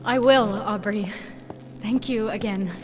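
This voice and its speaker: An actor playing a determined, protective young woman with a wry streak and an earnest, expressive delivery in a video game. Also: medium pitch, slow pace, very noisy, natural voice. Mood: relieved, grateful.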